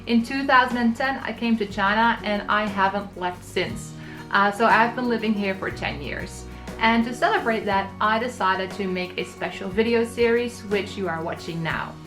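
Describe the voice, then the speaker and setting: A woman from the Netherlands in her thirties giving travel tips in a scripted vlog, explaining clearly and practically. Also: mid-range voice, normal speed, music in the background. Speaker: travel vlogger.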